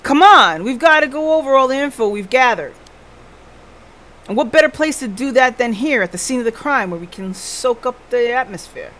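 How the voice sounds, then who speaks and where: A woman voicing an eager, chatty junior detective from a visual novel, excitable and fascinated by the occult. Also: on the high side, normal speed, voice pushed up. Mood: excited.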